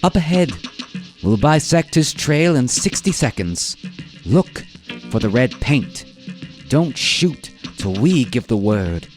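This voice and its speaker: A man voicing a brisk, alert safari guide's assistant giving instructions in a radio drama. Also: mid-range voice, big pitch swings, voice pushed up. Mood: urgent. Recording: live performance with music bed.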